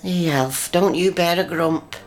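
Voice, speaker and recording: A woman's voice: lowish voice, a little slow, heavy background noise, lightly acted. A woman playing a fussy, prim housewife and mother in a radio comedy sketch, scolding and a little pretentious. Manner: prim, scolding, pretends to more refinement than she has.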